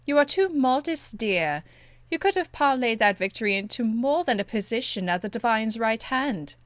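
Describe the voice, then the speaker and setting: Woman acting a regal game enchantress, haughty, condescending, polished, higher-pitched, close dry sound. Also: expressive, moderate pace, no echo, lightly acted. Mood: amused, superior. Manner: haughty, polished, drawling endearments. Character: proud, elegant, condescending court enchantress woman. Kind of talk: video game dialogue acting.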